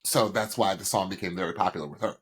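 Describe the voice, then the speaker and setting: A young man co-hosting a podcast, reviewing singing performances in an animated, chatty way, with many restarts. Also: low voice, quick pace, breathy edge. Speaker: podcast co-host. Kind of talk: casual music review chat.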